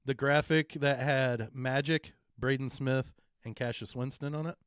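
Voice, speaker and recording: A deep-voiced man talks sports in a loose, rambling way, restarting sentences and then pressing a point hard. His voice sits at an ordinary pitch and is recorded close and dry, with no room sound around it. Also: steady tone, unhurried, clear, resonant tone, no echo. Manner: rambling, restarts often, emphatic. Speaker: co-host, former coach. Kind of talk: casual sports talk.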